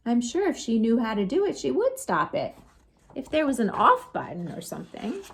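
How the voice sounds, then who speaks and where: A woman storytime host reading picture books aloud to children, expressive and sing-song, with chatty asides to her young listeners. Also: a little high, normal speed, husky. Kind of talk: animated picture-book read-aloud for children.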